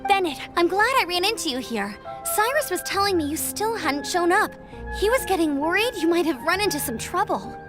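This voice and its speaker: A woman playing a perky, eager young female scout in a video game, with a bubbly, brisk and friendly delivery. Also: extremely high pitch, animated, music in the background. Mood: excited, relieved.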